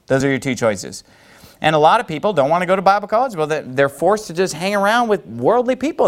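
A male preacher delivering a sermon with a fairly high voice. His pitch leaps around a great deal as he hammers home his points. The delivery is emphatic and animated throughout.

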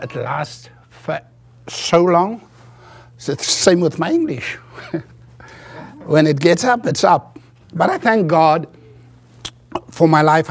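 A South African man whose first language is Afrikaans, preaching from a church pulpit as a guest preacher, earnest, with halting restarts. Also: a little high, husky. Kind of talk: sermon.

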